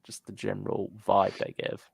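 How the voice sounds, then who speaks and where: Gravelly, creaky-voiced man in casual discussion. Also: on the low side, monotone, normal speed, no room sound. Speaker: co-host of a fan discussion show. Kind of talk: casual chat, reading out viewer comments.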